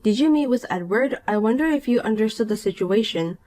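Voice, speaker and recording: A woman voicing a calm, cool married young woman in a dramatized story, dry and patient. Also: on the high side, a little fast, natural voice.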